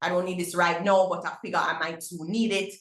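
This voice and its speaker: A woman's voice: fairly low pitch, steady tone, a little fast, echoey room. A middle-aged Jamaican woman hosting a parents' webinar, upbeat, with many fillers and restarts. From Barbados. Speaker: webinar host. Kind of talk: webinar info session.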